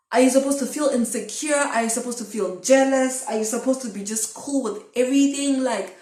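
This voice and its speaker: A woman's voice: on the high side, a little mellow. Young woman vlogging in echoey room. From Zimbabwe. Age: twenties. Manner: rambling, thinking aloud, self-questioning. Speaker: video blogger. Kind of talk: casual confessional chat to camera.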